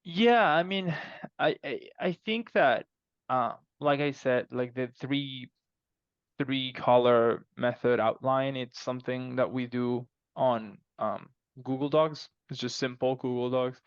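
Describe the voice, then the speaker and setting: A man speaking as an interview guest in casual, halting conversation, repeating words as he explains. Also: medium pitch, smooth, no room sound, lo-fi sound. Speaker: podcast guest, fiction co-author.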